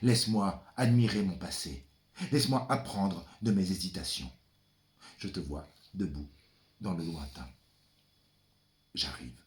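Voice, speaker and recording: A man acts out a brooding son who is ferryman of the dead. The voice sits fairly low and moves very slowly. The delivery is deliberate and dramatic, an incantation addressed to a father. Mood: solemn, yearning. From France. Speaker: stage performer. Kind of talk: theatrical monologue reading.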